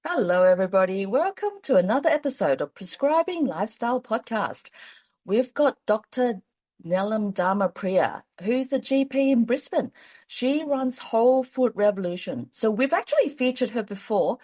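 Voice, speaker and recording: A woman's voice: fairly high pitch, moderate pace, muffled recording. A woman doctor hosting a podcast, chatting casually with a guest. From China. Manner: lively scripted intro, then relaxed chatty questions. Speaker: podcast host, GP. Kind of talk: podcast interview.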